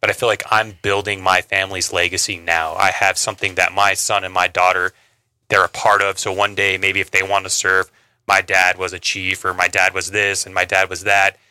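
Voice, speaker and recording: A male sailor from Montana with a low, level voice that is crisp and very bright. It is recorded dry and close, and he speaks from the heart with small stumbles. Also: level tone, no room sound, rough recording. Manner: heartfelt, slightly halting. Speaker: Navy sailor guest. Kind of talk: radio interview.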